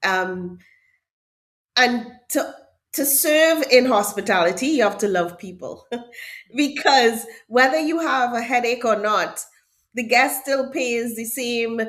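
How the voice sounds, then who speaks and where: A woman's voice: on the high side, expressive, slow pace, fairly bright tone. Emphatic Trinidadian woman, middle-aged. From Trinidad and Tobago. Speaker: hotel HR director, podcast guest. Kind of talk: interview answers.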